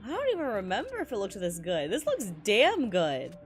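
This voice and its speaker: Woman game streamer, lively swings in pitch, slangy casual reactions, light game music underneath. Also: moderate pace, slightly rough. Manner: slangy, exclamatory, swearing. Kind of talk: casual gameplay reaction commentary. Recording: music behind the voice.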